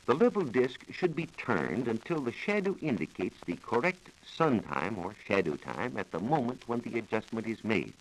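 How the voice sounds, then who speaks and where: A man's voice: mid-range voice, very animated, normal speed, hardly any pauses, a little hiss or hum, rough recording. An American man giving a formal, precise scripted science explanation as a reporter on a 1940s radio programme. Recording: vintage 1940s radio broadcast recording.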